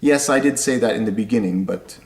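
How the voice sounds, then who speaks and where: A young man from Indonesia, explaining things step by step in a casual vlog, talking slowly and often repeating or correcting himself. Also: lowish voice, darkish tone, slight background noise. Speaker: vlogger. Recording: echoey room.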